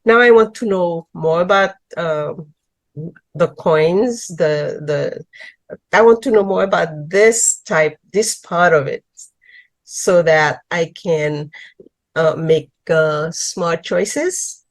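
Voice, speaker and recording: An older woman from New York calling into a video chat, speaking slowly and gently and searching for words. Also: fairly low pitch, no room sound. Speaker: caller. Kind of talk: casual video call chat.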